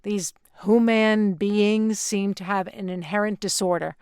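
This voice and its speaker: A woman's voice: medium pitch, steady tone, measured pace, darkish tone, clear, resonant tone, dry recording, clean studio sound, close to an everyday voice. A woman playing an unflappable, businesslike alien real estate agent in an audio play, with a salesy delivery. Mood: cautioning.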